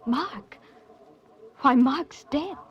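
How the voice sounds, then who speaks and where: An actress playing a guarded young woman in a 1940s radio drama, halting and subdued. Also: high voice, animated, lo-fi sound, very noisy, heavily acted. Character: hesitant, guarded young woman, an archaeologist's daughter.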